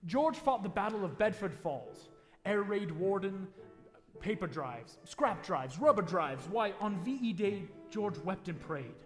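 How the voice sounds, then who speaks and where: An actor playing a heavenly narrator in a 1940s-style radio play, telling the story in a theatrical, folksy way. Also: male voice, sits high, expressive, unhurried. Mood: dramatic. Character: wise senior heavenly narrator recounting a life to Clarence. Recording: live stage taping in echoey hall, music.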